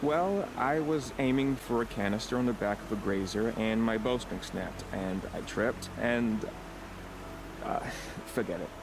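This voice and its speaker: Give the part of a failed young hunter: low-pitched and gravelly, halting and self-deprecating, as in a video game. Clear ambient noise sits behind the voice. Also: male voice. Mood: embarrassed, resigned.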